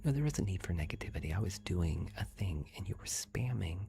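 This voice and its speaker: A man hosting an ASMR livestream, speaking in a breathy whisper and rambling directly to his chat viewers. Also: lively intonation, fairly quick, warm tone. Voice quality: breathy whisper with creaky low voice.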